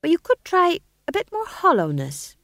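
A woman voicing a kindly, seasoned male actor who speaks reassuringly and can intone in a slow, hollow way. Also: very high voice, wildly swooping pitch, fairly bright tone, no echo, clean studio sound, pitched above the performer's own voice, heavily acted. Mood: friendly.

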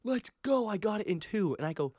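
A man's voice: sits high, fairly quick, no room sound, clean studio sound. A young man with a Boston accent, co-hosting a casual chat and telling stories with lots of acted-out quotes. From Boston area, USA. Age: young adult. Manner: animated storyteller, acts out quotes. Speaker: podcast co-host, college student.

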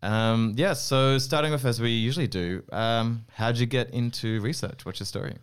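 A man's voice: mid-range voice, normal speed, clear, resonant tone. A man hosting a podcast interview, introducing the guest with light jokes. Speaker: podcast host.

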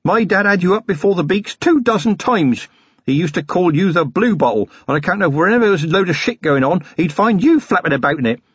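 A man voicing an eager young male constable who talks bluntly in rough working-class speech, raised high. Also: lively intonation, a little fast, no room sound, dull audio. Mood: zealous.

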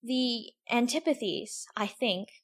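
A woman's voice: on the high side, slow. A woman playing a curious, chattering young girl in a full-cast audiobook, talking to herself in a prim, wondering way. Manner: prim, wondering self-talk.

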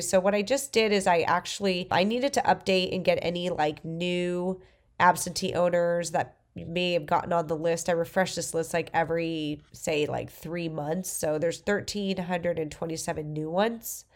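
Stuffy-nosed woman with a gravelly voice. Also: fairly low pitch, steady tone, normal speed. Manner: casual, thinking aloud with fillers and restarts. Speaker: real estate investor. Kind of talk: screen-recorded software walkthrough.

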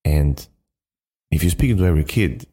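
A man with a deep, mellow voice hosts a motivational podcast on his own. His phrasing is halting and emphatic, often circling back to repeat words. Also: expressive. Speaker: podcast host. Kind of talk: motivational solo podcast talk.

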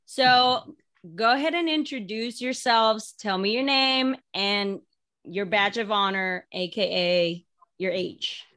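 A woman's voice: mid-range voice, slow pace, fairly bright tone. Woman in her thirties, upbeat host leading a casual group chat. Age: 30s. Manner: upbeat, cheers guests on. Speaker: podcast host.

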